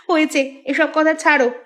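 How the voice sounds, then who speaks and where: A woman's voice: high voice, a bit bright. A woman playing a cunning, greedy village wife who plots and coaxes in a theatrical cartoon manner. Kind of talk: Bengali cartoon dialogue.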